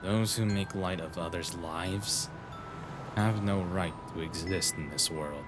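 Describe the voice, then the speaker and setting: A man's voice: low voice, slow pace. A man playing an eccentric young genius detective, intense and flippant, in an anime fan dub. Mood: cold, menacing. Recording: voice over background music.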